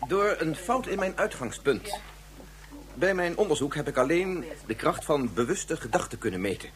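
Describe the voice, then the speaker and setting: Actor as formal, pedantic scientist, slightly high-voiced. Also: male voice, lively intonation, normal speed, natural voice. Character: male scientist Yukio, pedantic lecturer turning distraught father. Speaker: actor in a radio play. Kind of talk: science fiction radio drama acting. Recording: noisy radio play recording with hum.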